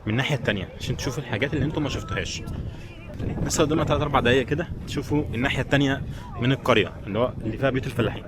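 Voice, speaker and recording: A man's voice: mid-range voice, rapid-fire. A man from Egypt with an Egyptian accent, chatting casually to viewers as a travel vlogger. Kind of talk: casual vlog chat to viewers. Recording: busy crowd noise around the voice.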